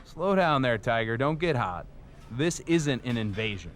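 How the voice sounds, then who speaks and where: A man's voice: medium pitch, sing-song, a little slow, fairly bright tone, a little hiss or hum. A man playing a confident, cordial rival wrestling champion in a game cutscene, straight-talking and self-assured. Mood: calming, teasing. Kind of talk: video game cutscene dialogue. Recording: faint background ambience.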